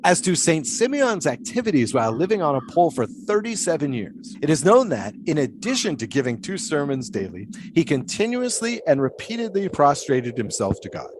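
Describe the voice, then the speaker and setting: Brief: 1920s pole sitter, lofty and musing, lively swooping delivery, faint ambience. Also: male voice, fairly high pitch, fairly quick, few pauses, noisy background. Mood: philosophical. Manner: grandiose, musing, theatrical. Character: philosophical 1920s male flagpole sitter. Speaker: flagpole sitter being interviewed. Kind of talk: period audio drama acting.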